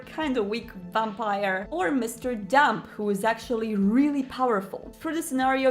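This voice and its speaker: High-voiced, enthusiastic woman vlogger over music. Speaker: YouTube host reviewing a board game. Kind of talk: casual vlog review, chatty and enthusiastic.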